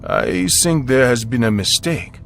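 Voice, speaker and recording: A man plays a tired middle-aged father from South Korea, calm and caring, his pitch moving up and down with life. Faint music plays underneath. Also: unhurried, vocal fry, exaggerated voice. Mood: puzzled. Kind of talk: radio-style story acting.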